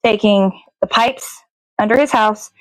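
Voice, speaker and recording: A woman's voice: medium pitch, measured pace, no echo, rough recording. A woman hosting a casual puppet show livestream, chatting in an informal, rambling way.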